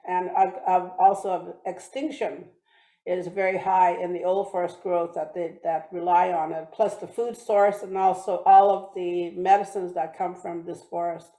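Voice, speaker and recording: A woman from British Columbia gives a talk to a congregation. She speaks haltingly, restarting often and filling pauses. Her voice is mellow and dark, and the room rings with echo. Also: fairly low pitch, flat delivery. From British Columbia, Canada. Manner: halting, many restarts and fillers. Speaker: guest speaker. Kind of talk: talk with slides. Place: church hall or online meeting.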